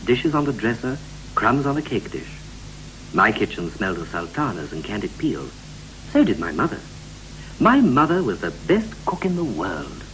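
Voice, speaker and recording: An actor playing a wistful British husband recalling his boyhood in clipped, poetic verse with deliberate pauses, in the style of a 1960s radio play. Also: lowish voice, sing-song, fairly bright tone, rough recording. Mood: wistful, incantatory. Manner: incantatory short phrases, deliberate pauses. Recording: vintage 1960s radio recording with hum and hiss.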